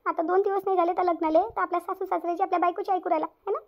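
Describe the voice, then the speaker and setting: A woman's voice: very high voice, steady tone, rapid-fire. A woman voicing a stern, self-pitying middle-aged mother-in-law in a cartoon family drama, nagging with repeated questions in a Vidarbha Marathi accent. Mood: tearful, wounded. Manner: nagging, repetitive rhetorical questions. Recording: dull lo-fi audio.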